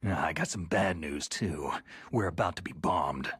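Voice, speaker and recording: An actor playing a gruff, battle-weary American soldier hero in a video game, gravelly, terse and protective. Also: male voice, sits low, animated, moderate pace, full character voice. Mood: grave urgency. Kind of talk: dramatic video game cutscene acting. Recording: long reverb, loud music behind.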